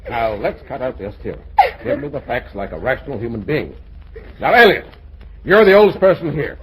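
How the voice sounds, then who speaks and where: A man playing a blustering, exasperated middle-aged father in an old-time radio comedy, husky and theatrical. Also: medium pitch, big pitch swings, fairly quick, background noise, lightly acted. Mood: blustering, indignant. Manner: blustery, theatrical, dryly sarcastic. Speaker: radio play actor.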